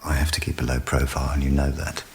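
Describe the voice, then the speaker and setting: A man's voice: a bass voice, little pitch movement, fast talker, slight background noise. An actor playing a driven, bossy male project leader in a radio drama, blunt and commanding. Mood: pleading, defensive. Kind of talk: naturalistic radio drama acting.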